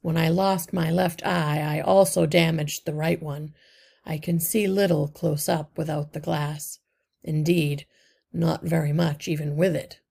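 A woman voicing an old, wise male rat leader in a storybook, measured and courteous as he explains. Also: low voice, fairly even intonation, creaky, a little mellow, slightly rough, full character voice.

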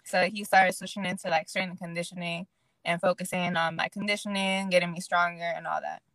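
A woman's voice: lowish voice, brisk, no room sound. Young woman boxer, casual and chatty. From Illinois, USA. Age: young adult. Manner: relaxed, fills speech with "like". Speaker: guest, pro boxer. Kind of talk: casual interview chat.

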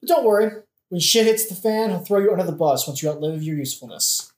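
A man's voice: high-pitched, expressive, fast talker. A man playing an insolent young prince with a mocking, profane, sardonic manner in a satirical audio play. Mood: scornful. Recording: echoey room.

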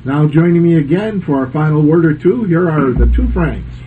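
Higher-voiced male host, noisy room. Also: expressive, moderate pace, phone-quality sound. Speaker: radio club host. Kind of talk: informal show sign-off banter.

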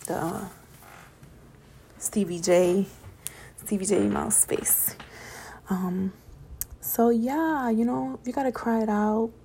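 Young woman, solo podcast host, gravelly and a bit hoarse, mellow tone, rambling and preachy about positivity. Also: medium pitch, steady tone, slow pace, long pauses, slight background noise. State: voice getting raspy from talking late. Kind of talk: late-night solo podcast monologue.

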